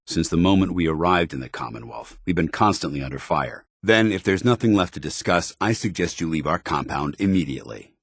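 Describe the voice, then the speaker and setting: Casting: proud military paladin for a game, very low, husky voice, formal and earnest, recorded dry. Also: male voice, lively intonation, fairly quick, few pauses, no echo, exaggerated voice. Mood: stern, guarded. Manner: formal, clipped, commanding, earnest. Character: stern, proud, earnest male military paladin soldier companion. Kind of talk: scripted video game companion dialogue.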